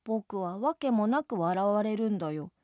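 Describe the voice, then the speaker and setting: A woman's voice: mid-range voice, animated, normal speed, a little mellow, no room sound, clean studio sound, natural voice. A woman voicing a small, whiny jellyfish boy who pleads childishly with his mother in a storybook. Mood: plaintive, pleading.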